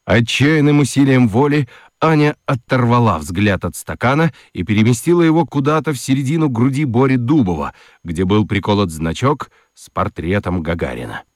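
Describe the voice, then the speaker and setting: A man narrating a children's radio play in an expressive, deliberate, wry storytelling style. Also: medium pitch, dry recording. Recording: dry studio with music underneath. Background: music.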